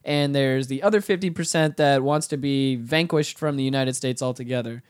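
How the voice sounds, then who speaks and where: A man's voice: medium pitch, moderate pace, clean tone, no echo, clean studio sound. A man co-hosting a podcast, chatting casually with teasing and plenty of swearing. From Puerto Rico. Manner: profane, teasing. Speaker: podcast co-host. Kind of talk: casual banter.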